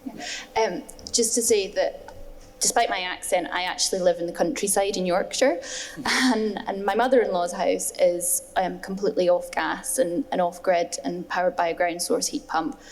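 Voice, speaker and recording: Woman panelist with flat low voice. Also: normal speed. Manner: earnest, emphatic, repeats words. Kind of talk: panel discussion. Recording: long hall reverb.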